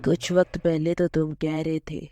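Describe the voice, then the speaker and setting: A woman's voice: low voice, little pitch movement, normal speed, mellow, natural voice. A woman voicing a cold, scheming villain commander who threatens people in a dramatised story. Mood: cold, menacing. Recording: prominent music under the voice.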